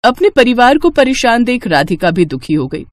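A woman narrating an animated moral story in a scripted storytelling style. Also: a little high, normal speed, no room sound.

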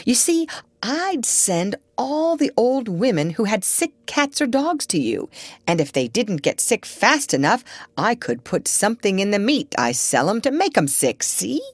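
A woman's voice: mid-range voice, very animated, unhurried, dry recording, pitched above the performer's own voice. A woman voicing a chatty, unschooled working-class man who peddles meat in a children's storybook, folksy, persuasive and scheming. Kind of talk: children's storybook character reading.

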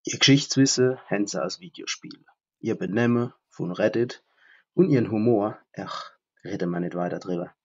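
A man's voice: lowish voice, normal speed, slightly rough, highs cut off. A man reading a podcast intro in a Palatinate accent with rolled R sounds. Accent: Palatinate German. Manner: rolled R, recited delivery.

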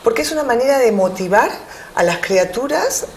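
A woman's voice: on the high side, moderate pace, a little hiss or hum. A middle-aged woman with a Peruvian accent, answering interview questions in a TV studio, hesitating often with fillers. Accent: Peruvian Spanish. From Peru. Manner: hesitant, many fillers, animated hands. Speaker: business owner guest. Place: TV studio with city backdrop.

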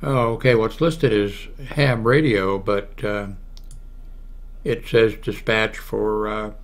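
An American man in his seventies giving a casual, unscripted computer walkthrough, haltingly thinking aloud. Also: on the low side, fairly even intonation. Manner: halting, thinking aloud while clicking through pages. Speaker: video blogger giving a how-to demonstration. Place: small room at home, indoors. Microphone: large desktop microphone close to the mouth.

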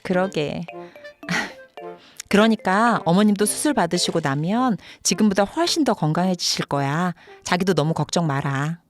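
A woman's voice: fairly low pitch, lively intonation, moderate pace, lightly acted. A woman playing a practical working wife and daughter-in-law, pointed and matter-of-fact, in a dramatized story video. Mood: concerned. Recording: music behind the voice.

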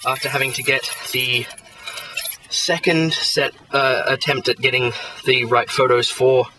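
A man's voice: mid-range voice, level tone, measured pace, recorded from a distance, noisy background. A young man in his twenties vlogging a road trip, chatting in a rambling, wry and exasperated way, full of fillers, with a handheld camera at arm's length. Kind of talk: casual travel vlog. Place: outdoors, in a car and in a large empty eatery. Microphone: handheld camera held at arm's length.

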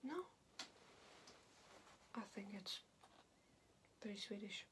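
A young woman playing a guarded, terse Swedish hacker in a soft, breathy, often whispered ASMR roleplay. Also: medium pitch, slow pace. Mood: guarded, caring. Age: young adult. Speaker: ASMR role-play performer. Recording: loud background noise, layered clicking sounds. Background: loud active background noise with clicks.

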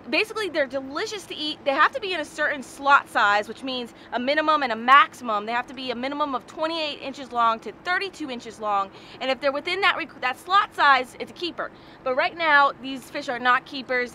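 An American woman in her thirties chatting casually and excitably as a fishing host, recorded outdoors on a small boat. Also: high voice, fairly quick, slight background noise. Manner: excitable, exclamatory, informal. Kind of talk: casual vlog chat, explaining to viewers. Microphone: action camera at arm's length or a few feet away.

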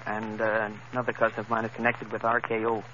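New guy on old 30s radio, talks quick, bright voice, muffled recording. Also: on the low side, monotone. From New York. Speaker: amateur contestant being interviewed. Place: radio studio. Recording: dull, noisy vintage 1930s radio broadcast.

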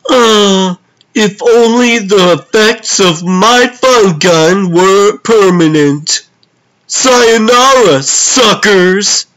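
A man's voice: high-pitched, drawn-out words, a bit bright, exaggerated voice, low-quality recording. A man voicing a gleefully zany clownish super-villain in a comic dub, theatrical, exclamatory and sing-song. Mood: taunting, playful.

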